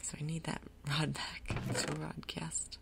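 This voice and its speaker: Female ASMR gaming host: hushed half-whisper, heavy vocal fry, breathy, relaxed pacing of murmured asides. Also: sits low, unhurried. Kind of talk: soft-spoken ASMR game commentary.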